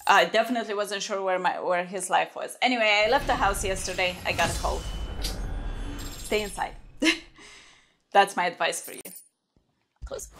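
A woman's voice: mid-range voice, normal speed, long pauses, a bit bright, a little hiss or hum. A young woman with a cold giving casual, chatty commentary while playing a video game, exclaiming and reacting as she goes. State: has a cold. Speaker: gaming YouTuber. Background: video game music.

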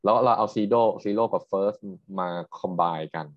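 A man's voice: fairly low pitch, slow pace. A man lecturing on technical material, explaining step by step and often checking with questions. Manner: explanatory, checks understanding with frequent tag questions. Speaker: university lecturer. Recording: dull, roomy lecture recording.

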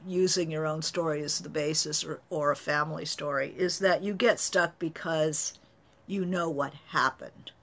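A woman's voice: low voice, fairly bright tone. A middle-aged woman, a novelist, talking casually on a live stream from her home study, thoughtful with many fillers. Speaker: novelist answering writing questions.

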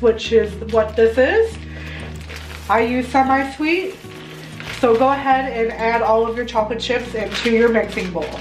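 A young adult American woman talking through a recipe in a chatty, step-by-step home tutorial style. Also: a little high. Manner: chatty, instructive. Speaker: home baking vlogger. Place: home kitchen, indoors. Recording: roomy kitchen echo.

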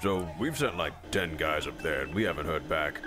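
A man's voice: very deep voice, animated, moderate pace, over music. A man voicing a gullible, mildly uneasy police official in a comic anime parody dub, casual and hesitant.

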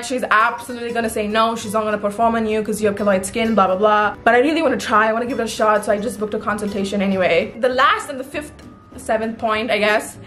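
A young woman with an Arabic-influenced accent chats crudely and with humor straight to the camera from her bedroom. Her voice sits a little high. A faint music bed plays under her talk. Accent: Arabic-influenced English. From Middle East. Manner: crude, joking, animated. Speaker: vlogger. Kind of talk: casual vlog chat. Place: bedroom, indoors.

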